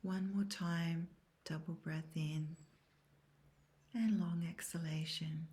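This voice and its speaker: A woman guiding a scripted yoga nidra meditation in a soft, breathy, near-whispered voice, calm and measured. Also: fairly low pitch. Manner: calm, measured, soothing instruction. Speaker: guided meditation instructor.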